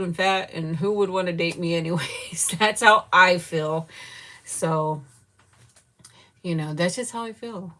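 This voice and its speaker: A woman in her fifties chatting candidly with viewers, grieving and close to tears, rambling with soft laughs and trailing pauses. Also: lowish voice. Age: 50s. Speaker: vlogger chatting with live viewers. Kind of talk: casual live chat.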